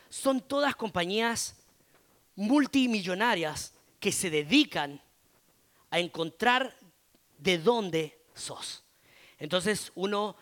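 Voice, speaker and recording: High-voiced Argentine pastor, slow and chatty. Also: male voice, a bit bright. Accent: Argentine Spanish. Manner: conversational, frequent filler "eh" and tag "¿no?". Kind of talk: sermon.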